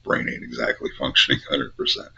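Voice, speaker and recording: An older man with a head cold, hosting a Bible study and teaching in measured, incantatory short phrases. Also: very deep voice, huge pitch swings, creaky, pushed and bright, breathy edge. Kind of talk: spiritual teaching monologue. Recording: dull lo-fi recording.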